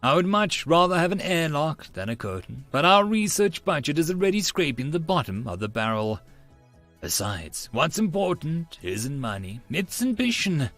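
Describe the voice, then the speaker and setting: Man playing a pompous young mad scientist, wildly animated. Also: a little high, normal speed, soft background music. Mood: pompous, insistent. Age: young adult. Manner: pompous, melodramatic. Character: grandiose young male self-styled mad scientist, theatrical, conspiratorial. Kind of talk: first-person audiobook storytelling.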